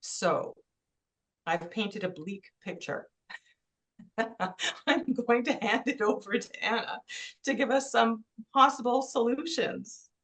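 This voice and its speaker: A woman from Canada giving an earnest, emphatic webinar talk, stressing key points with pauses. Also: on the high side, a bit bright. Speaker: webinar presenter. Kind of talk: prepared presentation.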